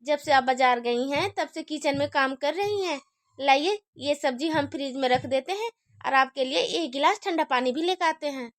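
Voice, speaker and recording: A kind, obliging younger daughter-in-law, played by a woman, soothing everyone and eager to help. Her voice is high, recorded dry and close in a homemade family skit. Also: moderate pace, no echo, natural voice. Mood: helpful. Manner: polite, helpful and soothing.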